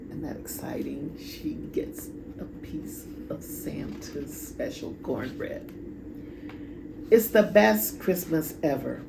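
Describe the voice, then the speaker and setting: A woman reading a children's story aloud in a dramatic, deliberate way with pauses. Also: a bass voice. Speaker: storybook reader. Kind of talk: expressive children's read-aloud. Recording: echoey room, clear background noise.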